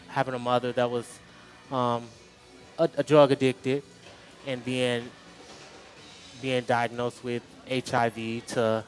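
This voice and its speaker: A man answering interview questions in a halting, slightly nervous way, filling pauses with ums, at an outdoor street festival. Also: medium pitch. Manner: hesitant, halting, with many ums. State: a little nervous. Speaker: interview guest.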